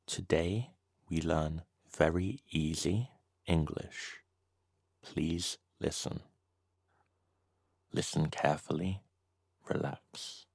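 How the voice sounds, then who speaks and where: A man reading a slow, scripted beginner English lesson, saying each short sentence twice with deliberate pauses. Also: very deep voice, steady tone, vocal fry, a little mellow, husky, no echo, studio quality. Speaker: English teacher.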